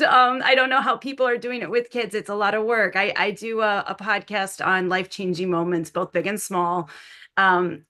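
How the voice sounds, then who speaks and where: Woman, slightly low pitch, panel guest, effusive, rambling, informal. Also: a bit bright. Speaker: podcast creator, panel guest. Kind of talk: informal unscripted panel talk.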